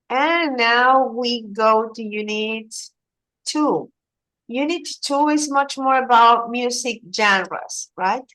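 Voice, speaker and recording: A woman's voice: a little high, a bit bright. A woman teaching English, patiently prompting and correcting her student. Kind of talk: online English lesson.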